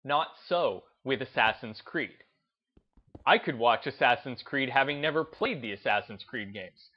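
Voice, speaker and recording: A man hosting a gaming video essay, speaking in an emphatic, deliberate, unscripted way and repeating phrases to drive his points home. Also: medium pitch, animated, muffled recording. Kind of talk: opinionated commentary, unscripted talk to listeners.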